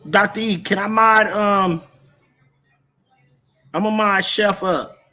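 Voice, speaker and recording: A man's voice: high voice, steady tone, slow pace, bright, forceful tone, faint music behind. An American man from California hosting a casual livestream, talking in a slangy, repetitive, confrontational way as he moderates the chat. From Oakland, California, US.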